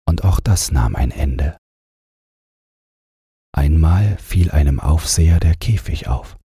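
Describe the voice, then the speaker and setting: Very husky, deep male audiobook narrator. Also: mellow, no room sound, studio quality. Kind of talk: scripted literary narration, bedtime audiobook.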